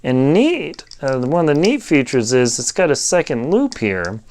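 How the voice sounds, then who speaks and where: A man giving a casual, unscripted product review, talking in a halting way as he thinks aloud while demonstrating. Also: mid-range voice, sing-song, darkish tone.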